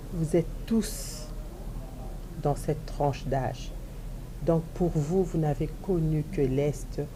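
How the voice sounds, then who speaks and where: Slow, low-voiced woman politician. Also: dark tone, slight background noise, rough recording. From DR Congo. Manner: emphatic rallying appeal, repeating key phrases. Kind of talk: televised interview statement.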